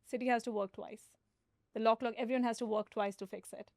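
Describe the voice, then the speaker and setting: A woman's voice: fairly high pitch, fairly quick, vocal fry, no echo, studio quality. Woman guest explaining plainly. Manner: plain explaining, frequent fillers, steady. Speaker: guest, tech founder. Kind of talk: conversational interview.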